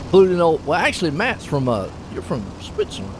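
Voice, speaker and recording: Casting note: chatty male sim race host, slightly high voice, loud engine noise behind him. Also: wildly swooping pitch, fairly quick. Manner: chatty and folksy, jokes with his co-host. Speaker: race commentator and stream host. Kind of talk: casual sim racing commentary. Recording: noisy broadcast mix with engine noise.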